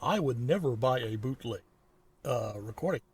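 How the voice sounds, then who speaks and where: A man's voice: medium pitch, animated, normal speed, a little mellow, husky. A middle-aged or older man co-hosting a podcast, chatting casually with dry, wry asides. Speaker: podcast co-host. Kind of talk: casual chat.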